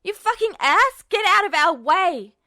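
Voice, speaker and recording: A woman's voice: very high-pitched, sing-song, unhurried, bright, forceful tone, no echo, studio quality, pitched above the performer's own voice, heavily acted. A woman voicing a scrappy, foul-mouthed young man with a brash, combative manner in an audiobook story. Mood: furious. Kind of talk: audiobook character voice.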